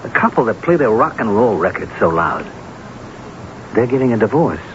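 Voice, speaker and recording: Low-voiced, scheming husband. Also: male voice, sing-song, moderate pace, highs cut off. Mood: sly, explaining. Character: smooth-talking, scheming unfaithful husband. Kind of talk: radio drama acting. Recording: noisy, band-limited old radio broadcast.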